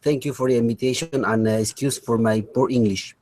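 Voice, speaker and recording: A man from Peru with a Peruvian accent, a Spanish speaker introducing himself haltingly as a GIS consultant on a video call. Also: medium pitch, fairly even intonation, a little mellow. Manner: halting, with many fillers.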